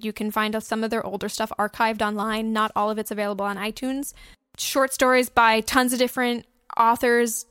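High-voiced woman chatting, dry close sound. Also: a bit bright, smooth, no room sound. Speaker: podcast co-host. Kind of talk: casual chat recommending audio drama podcasts.

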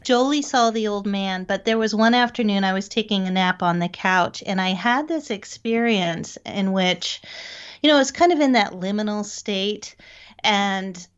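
A woman's voice: medium pitch, moderate pace, clean tone. A middle-aged woman, recounting experiences conversationally as an interview guest. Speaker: interview guest, paranormal investigator. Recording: dull, band-limited lo-fi audio.

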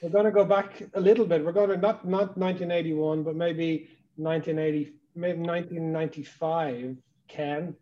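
A middle-aged man with a fairly high, soft-edged voice hosts a casual interview podcast. His tone is distinctly mellow, and the recording sounds slightly dull. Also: fairly even intonation, normal speed. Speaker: podcast host.

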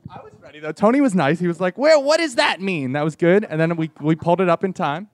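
A man chatting casually as a prank-call podcast host, excitable and jokey. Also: a little high, fast, dry recording, clean studio sound. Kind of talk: casual chat and prank calling.

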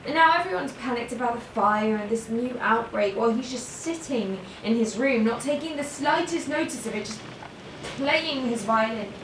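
A woman's voice: on the high side, expressive, normal speed, lightly acted. A woman playing a despairing, worn-out character in an audio drama, anguished, with repetitive, breaking phrases. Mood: bitter, low. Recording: echoey, distant room with faint noise.

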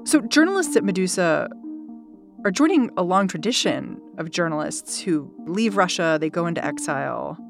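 A woman's voice: fairly low pitch, lively intonation, moderate pace, creaky, music in the background. A woman hosting an interview, asking curious, conversational questions. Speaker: interview host.

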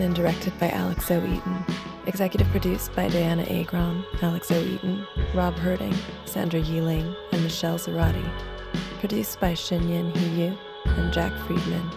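A woman's voice: on the low side, vocal fry. A woman announcer reading scripted credits. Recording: loud guitar music behind.

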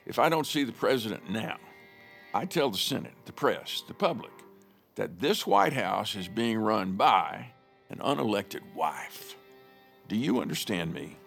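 Blustering senator with animated, gravelly voice. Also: male voice, on the low side, a little slow, heavily acted. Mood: indignant, threatening. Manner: pompous, formal, theatrical. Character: blustering, suspicious cowboy senator, proud and pushy. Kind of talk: audio drama acting. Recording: music under the voice.

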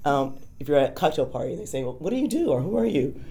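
A woman's voice: low-pitched, sing-song, racing, crowd noise. A middle-aged American woman hosting a casual interview, speaking in a reflective, encouraging way. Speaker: interviewer, art teacher.

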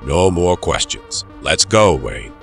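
An actor playing a cold, controlling doctor in an audio drama, giving orders in a stern, measured, quietly menacing way. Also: male voice, low voice, lively intonation, gravelly, faint music behind, heavily acted. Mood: cold, impatient.

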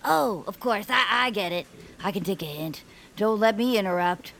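Eager, chatty, oblivious young pal. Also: female voice, mid-range voice, animated, moderate pace, creaky, a bit bright, a little hiss or hum. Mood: feigned nonchalance. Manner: breezy, folksy, chattering. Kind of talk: family audio drama acting.

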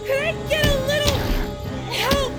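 A woman's voice: very high-pitched, fairly even intonation, normal speed, over music. A woman playing a brash, foul-mouthed, combative girl in an audio drama, bossy and blunt. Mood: furious, frantic.

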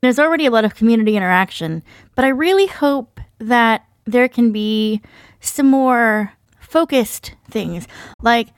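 A woman's voice: mid-range voice, dry recording, clean studio sound. A woman giving conversational answers in an interview as a podcast staff member.

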